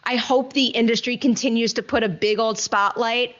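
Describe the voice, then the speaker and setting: A woman with a fairly high voice hosts a casual film talk show. She is emphatic and enthusiastic, repeating phrases for weight. The recording is a little dull and closed-in. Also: steady tone, normal speed, fairly bright tone. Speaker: entertainment news show host. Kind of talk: casual movie review chat.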